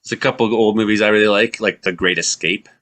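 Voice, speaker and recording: A man's voice: fairly low pitch, steady tone, fast, fairly bright tone. A middle-aged man hosting a radio show in a casual, chatty style.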